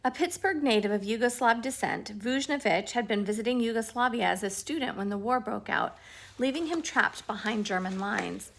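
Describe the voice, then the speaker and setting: A woman reading a history book aloud at home, at an unhurried pace and with small restarts. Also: fairly high pitch, creaky, a bit bright. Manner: firm, scolding talk to a pet between passages. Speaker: reader of a book.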